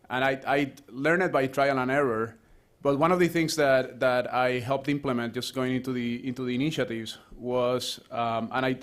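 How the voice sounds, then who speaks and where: A man from Rico, an associate professor giving a conversational panel talk, full of restarts and fillers. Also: medium pitch. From Puerto Rico. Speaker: associate professor, speaker at a round table.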